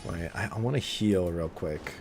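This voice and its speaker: A man's voice: deep voice, moderate pace, creaky. A man livestreaming a game, chatting casually in a laid-back, conversational way as he thinks aloud. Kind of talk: casual chat over gameplay. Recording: voice over loud game music. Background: loud game music.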